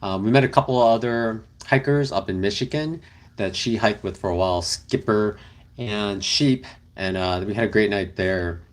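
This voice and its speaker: A man's voice: on the low side, normal speed. A young man casually chatting on a livestream with his partner, reading viewer comments in a rambling, easygoing way. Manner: rambling, easygoing, many fillers. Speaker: livestream host. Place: car camping, indoors with partner.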